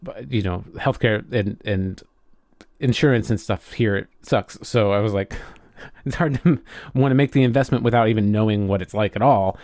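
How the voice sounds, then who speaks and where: A man's voice: lowish voice, a little mellow, dry recording, studio quality. A man co-hosting a podcast in casual conversation, often stopping and restarting his sentences as he thinks aloud. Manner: halting, frequent self-interruptions. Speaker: podcast co-host.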